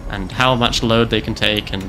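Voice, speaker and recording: Young man, game streamer, bright tense voice, joking rambling chatter, faint background hiss. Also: lowish voice, fairly even intonation, normal speed, rough recording. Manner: joking, rambling, lots of fillers. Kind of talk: casual commentary while playing.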